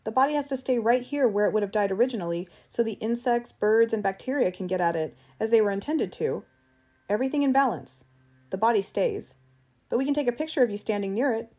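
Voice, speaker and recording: Male safari assistant, audiobook, woman reader: practical, brisk, level-headed explainer. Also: a little high, dark tone, natural voice. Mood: brisk, matter-of-fact.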